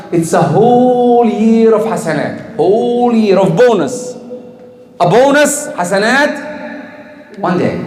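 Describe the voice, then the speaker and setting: A man's voice: high voice, a little hiss or hum. A man giving a religious talk to a congregation, asking the listeners questions and answering them himself. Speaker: Islamic teacher. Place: community hall or mosque in Canada. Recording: echoey hall with long reverb.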